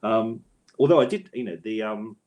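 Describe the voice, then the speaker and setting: A man's voice: on the low side, normal speed, darkish tone, muffled recording. A man speaking as an interviewed author in a conversational, discursive way, often interrupting himself and repeating words.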